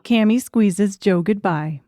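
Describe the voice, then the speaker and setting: A woman's voice: medium pitch, takes it slowly, mellow, dry recording. A woman reading scripted third-person narration for an audiobook story.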